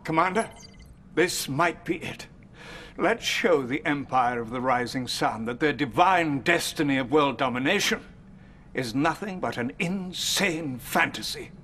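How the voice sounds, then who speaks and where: An actor playing a middle-aged American president who gives orders and sounds exasperated. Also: male voice, fairly high pitch, expressive, measured pace, fairly bright tone, slight background noise. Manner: commanding, exasperated.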